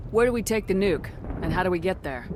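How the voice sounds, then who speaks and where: An actress playing a confident human military commander in a video game, direct, controlled and authoritative. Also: female voice, on the low side, animated, quick pace, noisy background, lightly acted. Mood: focused. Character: confident female human military commander, firm, level-headed. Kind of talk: video game dramatic acting.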